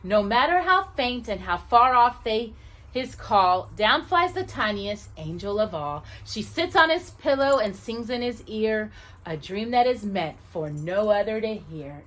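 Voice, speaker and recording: A woman's voice: medium pitch, a bit bright. A woman reading a children's picture book aloud expressively, with casual asides to the listener.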